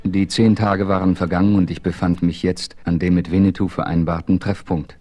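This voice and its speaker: An actor playing a calm, self-assured adventurer who narrates his western exploits in a measured way in a radio play. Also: low-pitched, soft background music. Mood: composed. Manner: measured, composed, storytelling.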